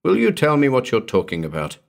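A man voicing an irritable, alarmed carnival tough with a forceful, demanding delivery in a dramatic story. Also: medium pitch, huge pitch swings, a little fast, gravelly, pitched above the performer's own voice. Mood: angry irritation. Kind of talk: dramatic audiobook character reading.

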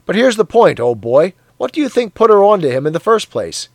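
A man voicing a hearty, sweaty, zealous middle-aged man who talks in a loud, cheery, blustering way. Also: high voice, sing-song, a little fast, no room sound, voice pushed up, heavily acted. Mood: boastful.

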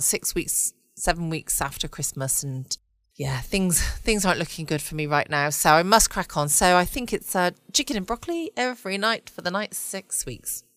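A woman hosts a casual late-night podcast. Her voice is bright and smooth in a dry recording. She rambles along, self-deprecating, tagging questions onto her remarks. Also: low-pitched, dry recording. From United Kingdom. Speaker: podcast host.

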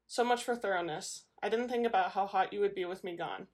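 A woman's voice: medium pitch, fairly quick, close to an everyday voice. A woman voicing an anxious, tender, protective young husband in a novel, earnest and concerned. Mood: apologetic, concerned.

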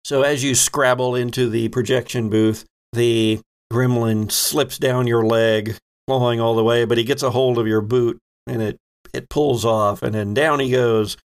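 A man with noticeable fry in his voice acts as game master. He sits at an average male pitch and narrates playfully, improvising as he describes each scene. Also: steady tone, measured pace. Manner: descriptive, playful storytelling with pop-culture asides. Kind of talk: improvised narration of a tabletop horror-comedy game.

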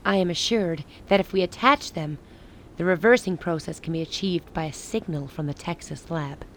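An actress playing a grave, resolute woman who leads a besieged rebel city in a radio play, speaking in measured, formal lines. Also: lowish voice, a bit bright, a little hiss or hum. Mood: resigned, resolute. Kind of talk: dramatic radio play dialogue.

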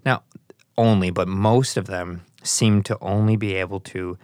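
A man's voice: low voice, steady tone, a little slow, darkish tone, dry recording, studio quality. A man hosting a casual interview, asking curious, probing questions and repeating phrases for emphasis. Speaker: podcast host. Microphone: studio mic with headphones.

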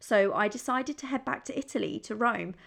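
A woman's voice: a little high, fairly quick, creaky, fairly bright tone. A young woman from England hosting her own podcast, talking in a chatty, upbeat, confiding way. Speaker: podcast host. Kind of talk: solo podcast chat.

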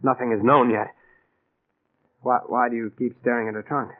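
Casting a male actor for a jumpy, evasive American husband, stammering and placating, medium pitch, vintage radio drama fidelity. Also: steady tone, normal speed, close to an everyday voice. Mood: falsely soothing. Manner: nervous, stammering. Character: guilty, jumpy husband, falsely soothing and evasive. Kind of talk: 1940s radio drama acting. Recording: tinny narrow-band old radio recording.

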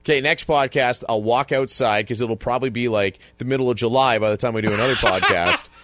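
A man co-hosting a casual sports podcast, chatty and easygoing, quick to laugh. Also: medium pitch, a bit bright, no room sound. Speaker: podcast co-host.

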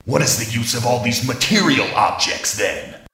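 A man's voice: mid-range voice, lively intonation, moderate pace, very noisy, close to an everyday voice. A man playing a haughty, scheming evil robot in a radio play, with a pompous, theatrical delivery. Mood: indignant, doubting. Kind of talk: audio drama villain acting. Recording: echoing voice over music.

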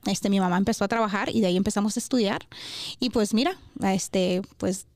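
A woman's voice: medium pitch, brisk, darkish tone, dry recording, studio quality. A woman, a podcast guest talking casually about herself and mixing in English words. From born in Honduras, grew up in Kentucky from age nine. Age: 30s. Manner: mixes English words into Spanish. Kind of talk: casual personal storytelling.

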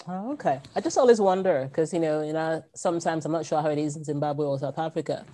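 A woman from Nigeria hosts the podcast in a casual interview style. Her voice sits very low for a woman. She prompts her guest easily and laughs often. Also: fast, dark tone. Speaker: podcast host.

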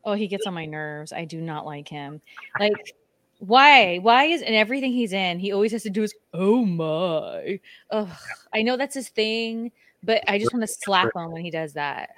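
A woman co-hosts a casual podcast, chatty and blunt and happy to make fun of herself. Her pitch is ordinary for a woman. The sound is dry and close. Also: expressive, normal speed, a bit bright, no room sound. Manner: chatty, self-mocking, blunt. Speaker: podcast co-host. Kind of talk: casual chat.

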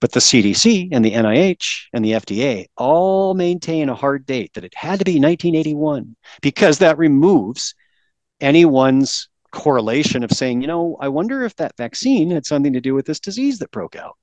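A man's voice: fairly high pitch, lively intonation, normal speed, darkish tone, dry recording. Emphatic man lecturing as a guest. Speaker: podcast guest, researcher. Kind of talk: interview, explaining a presentation.

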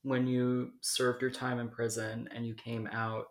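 A man's voice with a gravelly edge asks short, curious follow-up questions between quick "mm-hmm"s. He sounds like a casual interview host, and the room adds a noticeable echo. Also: medium pitch, completely flat delivery. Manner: short backchannels, brief probing questions. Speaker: podcast host. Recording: roomy echo.

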